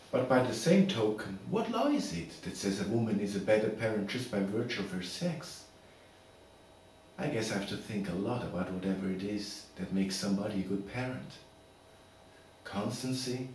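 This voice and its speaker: A man's voice: mid-range voice, darkish tone, natural voice. Guy acting a sad plain-spoken divorced father, subdued monologue, far from the mic, super echoey. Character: weary, earnest divorced father pleading for custody. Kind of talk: dramatic audition monologue. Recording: echoey room, distant mic, background noise.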